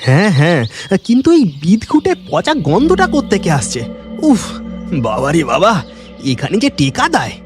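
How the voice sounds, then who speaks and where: Man acting high-pitched excitable village honey collector. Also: normal speed, music in the background. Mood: alarmed. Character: village honey collector husband, bold but easily alarmed. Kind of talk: dramatic cartoon dialogue.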